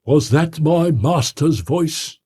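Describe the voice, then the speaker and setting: A man's voice: fairly high pitch, animated, takes it slowly, warm tone, breathy edge, voice pushed up, full character voice. Man acting out a distraught butler, voice raised and strained. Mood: agitated, insistent. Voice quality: harsh, broken voice. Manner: emphatic, deferential, strained. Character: frightened loyal male butler, urgent and distraught. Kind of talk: dramatic audiobook character reading.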